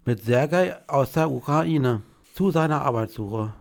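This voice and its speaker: A man speaks as a podcast co-host. His mid-pitched voice has a strong creaky fry to it, and he phrases things plainly and colloquially. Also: animated, unhurried. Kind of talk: podcast talk and prepared questions.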